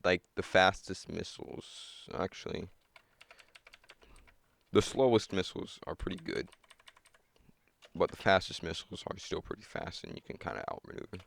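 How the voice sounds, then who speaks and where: A man's voice: low voice, sing-song, moderate pace, gravelly, pauses often, a bit bright. A young man thinking aloud and griping at himself while setting up a computer game on a cheap desk mic. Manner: muttering, self-critical, thinking aloud. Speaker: gamer. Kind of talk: casual gameplay commentary.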